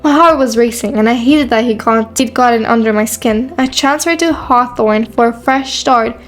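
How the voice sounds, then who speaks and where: A woman playing a guarded, quiet new high school girl with a dry, defensive manner in a teen romance drama. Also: normal speed, lightly acted. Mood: flustered, reflective. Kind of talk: first-person narration and dialogue in a teen romance.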